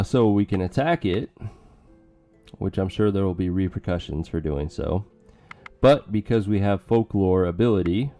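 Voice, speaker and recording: A man casually commentating a strategy game with joking asides, his voice going hoarse. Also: on the low side, warm tone. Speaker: let's play commentator.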